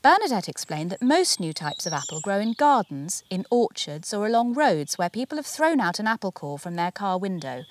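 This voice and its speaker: A woman narrating a children's picture book as its author, in an expressive, measured storytelling manner. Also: mid-range voice, slight background noise. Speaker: author reading their own picture book.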